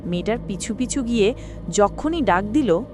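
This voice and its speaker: Female storyteller for scripted horror tale: mid-pitched, very animated, clear background noise. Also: normal speed. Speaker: narrator.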